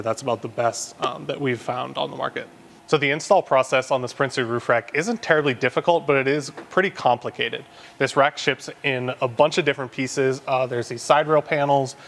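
A young man casually walking through a vehicle install in a large garage workshop, relaxed and filling pauses with ums and uhs. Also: medium pitch. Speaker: off-road shop presenter. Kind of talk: casual product walkthrough.

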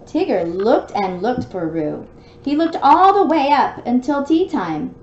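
Woman, children's story time reader: expressive and sing-song, higher pitch, roomy lo-fi sound. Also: unhurried. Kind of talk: reading a picture book aloud to a small child. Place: room at home with bookshelves. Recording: dull lo-fi home recording with noise.

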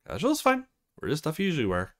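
A man's voice: fairly high pitch, wildly swooping pitch, fast talker, pitched above the performer's own voice. A man voicing a confident, teasing young woman, a model in a visual novel, in a breezy, playful manner.

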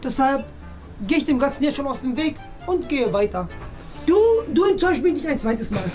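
A man's voice: extremely high pitch, expressive, fairly quick, darkish tone. A young man talking casually to camera as a game contestant in a small office, deliberating aloud in a mock-dramatic way. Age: young adult. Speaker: challenge contestant addressing viewers. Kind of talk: casual playful vlog talk. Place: small indoor office studio. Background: clear background music.

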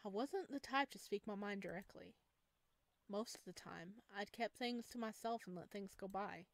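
A woman's voice: mid-range voice, moderate pace, dry recording. Woman narrating a visual novel, dry sound. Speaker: gaming video host. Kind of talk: expressive read-aloud narration of a visual novel.

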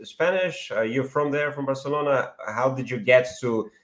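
A man's voice: mid-range voice, moderate pace. A man in his thirties hosting a video call interview, asking friendly questions with fillers. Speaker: host. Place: home room, indoors. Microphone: laptop webcam on video call.

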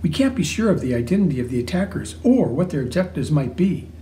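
A man voicing a measured, formal television news reporter covering a live event. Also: on the high side, very animated, dark tone, echoey room, slight background noise. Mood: urgent. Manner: formal, newsy.

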